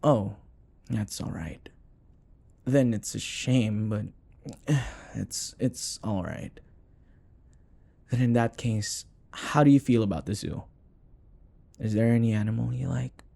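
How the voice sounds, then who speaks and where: Male actor as wealthy mature boyfriend for romantic roleplay: husky, mellow, softly coaxing, obsessively devoted. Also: mid-range voice, slow pace, long pauses, no echo. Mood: gently coaxing. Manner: soft, hesitant, coaxing murmur with sighs. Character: rich older possessive boyfriend, sweetly doting, obsessive, apologetic. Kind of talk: intimate romantic audio roleplay.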